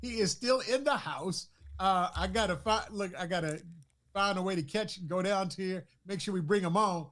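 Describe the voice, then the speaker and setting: A man with a fairly high voice hosts a sports talk show. He keeps the energy up, prompting viewers and his co-host. His delivery is lively and casual. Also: moderate pace. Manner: energetic, hypes the audience.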